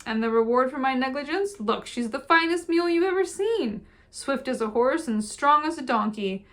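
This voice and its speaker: A woman's voice: sits high, lively intonation, pitched above the performer's own voice. A woman voicing an old male farmer who spins a sentimental, folksy tale about his mule. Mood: tall tale. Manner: folksy, drawn-out storytelling.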